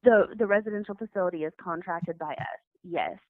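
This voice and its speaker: A woman's voice: lowish voice, fairly quick, no room sound. An American woman speaking as a caseworker on the phone, explaining and correcting in a firm, emphatic way. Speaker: child services caseworker. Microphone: far end of phone call. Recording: tinny, band-limited phone line.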